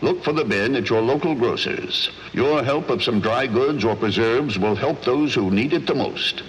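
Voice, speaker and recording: An actor playing an earnest, grave American radio newsreader and announcer in the style of the 1940s. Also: male voice, medium pitch, moderate pace, slightly rough, close to an everyday voice, rough recording. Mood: earnest, urging.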